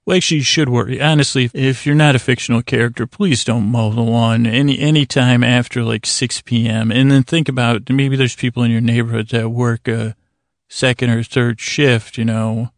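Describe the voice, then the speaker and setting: A man with a creaky voice hosting a bedtime podcast, talking in a soothing, meandering monologue with halting pauses and digressions. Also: mid-range voice, steady tone, no echo, studio quality. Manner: soothing, lulling delivery. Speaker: podcast host.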